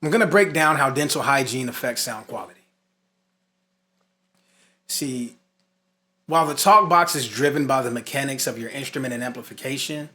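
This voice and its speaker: Bright-voiced man teaching a lesson. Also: mid-range voice. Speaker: music instructor. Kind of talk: instructional lesson, scripted points with casual asides.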